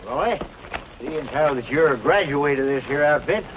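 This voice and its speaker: An actor playing a cranky but soft-hearted old cowboy sidekick in a vintage western film, with a folksy rural Western accent. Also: male voice, on the high side, lively intonation, moderate pace, close to an everyday voice. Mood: pleased, folksy. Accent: rural American West. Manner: folksy, blustering. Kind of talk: 1940s western film acting. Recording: dull vintage film soundtrack, faint noise.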